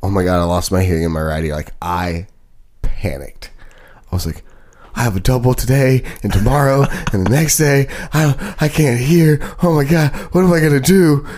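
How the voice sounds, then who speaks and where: A man hosting a casual podcast who tells stories in an animated, rambling way, full of "like" and restarts. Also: medium pitch, moderate pace. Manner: rambling, filler-heavy, animated storyteller. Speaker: podcast host.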